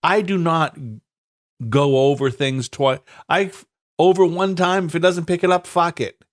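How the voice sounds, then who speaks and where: A man's voice: on the high side, fairly even intonation, a little slow. A man co-hosting a casual podcast, stuffed up, talking in a profane, rambling way with stammered restarts and laughs. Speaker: podcast co-host. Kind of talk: casual banter.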